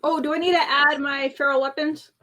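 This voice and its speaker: A woman with a bright, fairly high voice plays a tabletop roleplay game. She talks casually and brashly, in loose slang. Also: level tone, a little fast. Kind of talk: casual game chat, partly in character.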